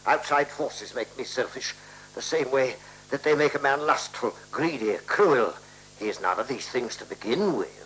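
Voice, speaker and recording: An actor playing a large, weary, grumbling lodger in a 1970s radio play, self-pitying and indignant, with an emphatic declamatory delivery. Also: male voice, mid-range voice, expressive, normal speed, fairly bright tone, natural voice. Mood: bitter, self-pitying. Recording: noisy, humming 1976 radio recording.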